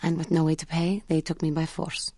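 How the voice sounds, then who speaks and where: An actress playing a proud but guilt-haunted female feline alien warrior in a video game, speaking formally and earnestly, with halting care. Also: low-pitched, level tone, warm tone, no room sound, studio quality. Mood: bitter, sorrowful. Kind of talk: scripted video game character dialogue.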